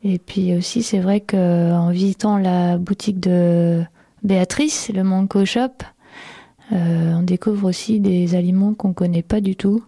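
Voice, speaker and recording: Lady radio host, slow easy chat, dry close studio sound. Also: fairly low pitch, dry recording. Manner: relaxed, hesitant with many fillers.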